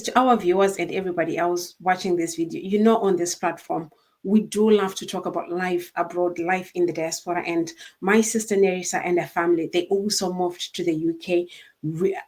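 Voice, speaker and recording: Woman, slightly low voice, interview host, earnest and wordy, conversational. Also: darkish tone. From Africa.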